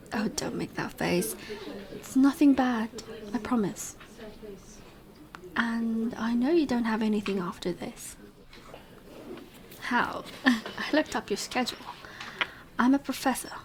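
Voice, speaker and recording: A woman's voice: a little high, close to an everyday voice. A woman playing a possessive, teasing vampire professor in an intimate audio roleplay, coaxing the listener in murmurs that often drop toward a whisper.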